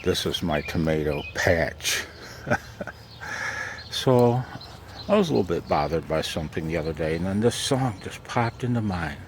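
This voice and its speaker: Low gravelly guy rambling casually, kinda self-deprecating, outside with some background noise. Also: lively intonation, normal speed, pauses often. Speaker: amateur radio vlogger. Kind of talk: casual chat. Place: outdoors in a backyard garden and deck.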